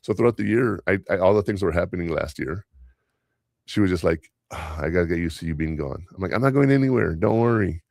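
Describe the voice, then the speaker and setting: A deep-voiced man with a gravelly edge hosts a casual podcast. Spanish is his first language. He rambles through stories from his work, chuckling here and there. The sound is dry and close, with no room echo. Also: animated, quick pace, darkish tone. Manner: rambling, anecdotal, chuckles often. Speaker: podcast host and school teacher.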